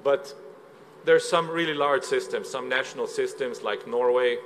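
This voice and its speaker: A man from Slovenia giving a measured, explanatory conference presentation, with frequent filler sounds. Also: medium pitch, fairly bright tone, a little hiss or hum, poor audio. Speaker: conference speaker. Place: conference hall.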